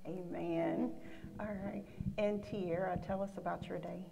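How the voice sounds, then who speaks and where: A woman's voice: on the low side, fairly even intonation, measured pace, gravelly, dark tone. A woman with a Southern US accent moderating a church panel discussion, upbeat and saying amen often. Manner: upbeat, frequent amens. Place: large church sanctuary. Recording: long reverb of a church hall.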